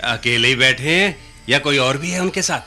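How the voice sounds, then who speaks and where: A man's voice: medium pitch, moderate pace, pushed and bright, soft background music. An actor playing a sly, scheming man in a radio play, oily, chuckling and blustering, fawning on his betters. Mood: bossy, cagey. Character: sly, scheming, fawning male matchmaker brother. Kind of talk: Hindi radio play acting.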